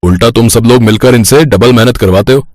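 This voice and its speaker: A man's voice: mid-range voice, a little fast, darkish tone, dry recording, studio quality, close to an everyday voice. A man playing a stern, fair-minded boss in a family cartoon, authoritative and scolding. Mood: angry, scolding.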